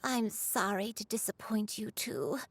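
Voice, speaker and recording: An actress voicing a frail elderly grandmother in a game, who speaks softly and haltingly as she struggles to remember. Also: mid-range voice, lively intonation, exaggerated voice. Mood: wistful. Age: older. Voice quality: breathy. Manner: hesitant, halting, soft-spoken. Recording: game audio with soft music.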